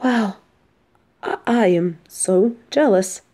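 Lady voicing a teasing villainess girlfriend, soft n affectionate, dark relaxed voice, takes her time, cozy roleplay audio. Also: mid-range voice, slow. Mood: amazed, jealous. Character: teasing, affectionate female supervillain girlfriend, softening at home. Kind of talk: intimate roleplay audio drama.

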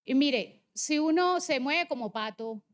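Female legislator, 40s, Colombian Caribbean accent from Barranquilla, high voice, emphatic debate delivery. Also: lively intonation, a little slow. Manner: insistent, emphatic. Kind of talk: political debate speech with slides.

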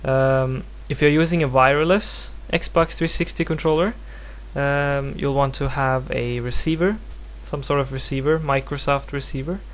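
A man from Norway speaking English as a second language with a Norwegian accent, giving a casual, unscripted software tutorial in a hesitant, rambling, self-deprecating way. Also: medium pitch, fairly even intonation, moderate pace, hardly any pauses. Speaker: tutorial presenter. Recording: home recording with clear background noise and hum.

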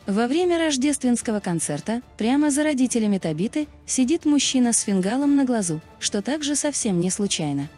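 A woman's voice: a little high, very animated, brisk, hardly any pauses, warm tone. A woman reading a scripted explainer narration as a narrator. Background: background music.

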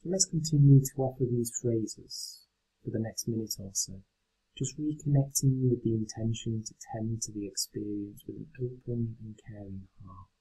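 Soft, husky man guiding meditation. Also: lowish voice, steady tone, low-quality recording. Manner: gentle, unhurried instruction. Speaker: meditation guide. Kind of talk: guided meditation.

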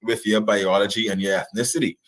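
A Bahamian man in his thirties talks as an interview guest over a video call. His voice is husky, and he explains his points with emphasis, often checking that the listener follows. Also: lowish voice, flat delivery, fairly quick. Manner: emphatic, checks in with rhetorical questions. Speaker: guest historian. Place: indoors, in front of a draped backdrop, on a video call.

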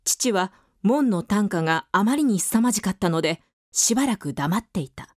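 A woman's voice: medium pitch, expressive, moderate pace, darkish tone, no echo, clean studio sound. A woman giving scripted narration for a radio drama as its narrator.

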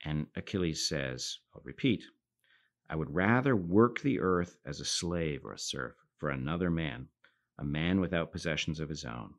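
A middle-aged man hosting a philosophy podcast, giving thoughtful lecture-style commentary and reading passages aloud, lifting his voice for quotes and dropping low at the ends of phrases. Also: lively intonation, normal speed.

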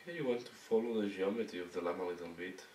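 Hoarse man, halting tutorial talk. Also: low-pitched, a little mellow. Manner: halting, broken phrases while working. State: hoarse, losing his voice. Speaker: miniature painting instructor. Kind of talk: step-by-step painting tutorial. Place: indoor hobby painting desk. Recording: roomy, distant mic with faint noise.

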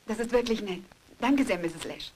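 A woman's voice: a little high, animated, moderate pace, darkish tone, slight background noise, close to an everyday voice, poor audio. A woman playing a polite, affectionate young wife in a horror audio drama. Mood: polite, pleased.

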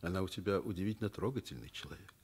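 An actor playing a kindly, firm middle-aged school director in a Soviet radio drama, fatherly and gently ironic. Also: sits low, rapid-fire, husky, exaggerated voice. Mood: sympathetic.

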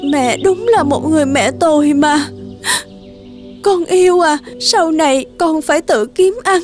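A woman voicing a gentle, pregnant mother deer in a cartoon, pleading and tearful. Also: very high voice. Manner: tearful, begging. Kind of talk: cartoon voice acting. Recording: music behind.

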